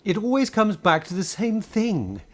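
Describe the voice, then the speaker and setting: A woman's voice: medium pitch, very animated, a little slow. A woman playing a petulant, self-pitying young king in a radio play, with a complaining manner. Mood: peevish. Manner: peevish, complaining.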